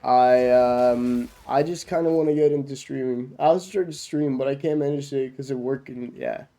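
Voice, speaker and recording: Sick young streamer, mellow voice. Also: male voice, mid-range voice, steady tone, moderate pace. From Norway. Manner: rambling, loose, with many fillers and restarts. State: sick with a cough. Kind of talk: casual chat with stream viewers while gaming.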